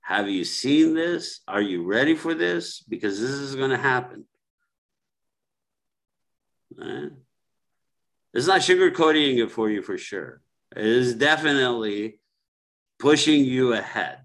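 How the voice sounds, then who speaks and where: A man speaking as a guest on a reflective podcast, slow and ruminative, with fillers and repeated phrases. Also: medium pitch, expressive, gravelly, fairly bright tone.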